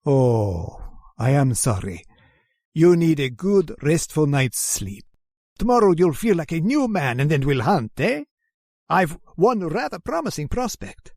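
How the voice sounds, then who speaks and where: Suave, gravelly, sinister general. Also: male voice, on the high side, very animated, unhurried, darkish tone, no echo, clean studio sound, exaggerated voice. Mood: courteous. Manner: suave, courteous, theatrically menacing. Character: genteel, sinister aristocratic general who hunts humans. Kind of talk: old-time radio horror drama acting.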